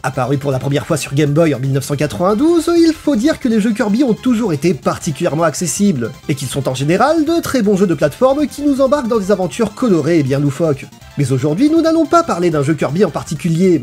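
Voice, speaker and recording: A man's voice: on the high side, big pitch swings, quick pace, hardly any pauses, a little mellow. A man reading a scripted video essay in a playful, theatrical storytelling manner. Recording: voice-over with music behind. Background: background music.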